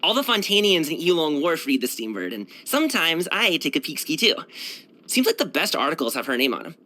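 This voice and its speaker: A man's voice: a little high, very animated, fairly quick, bright, forceful tone. A man voicing a brash, cheerful young martial arts dancer and guard in a video game, chatting casually in a slangy, boyish, self-assured way. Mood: chatty, curious. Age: young adult. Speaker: video game character voice actor. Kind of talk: casual, conversational game voice lines.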